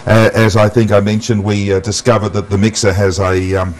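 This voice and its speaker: An Australian man presenting an unscripted amateur TV walk-through, halting often with ums and uhs. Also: fairly low pitch, level tone. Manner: halting, with long um and uh pauses. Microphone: wireless mic pack. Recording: noisy amateur TV transmission audio.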